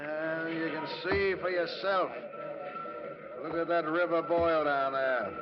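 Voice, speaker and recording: An American actor playing a gruff, commanding army major in an old-time radio drama, giving terse orders. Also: male voice, fairly high pitch, steady tone, slow. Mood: gruff, alert. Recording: dull, noisy old radio, vehicle effects.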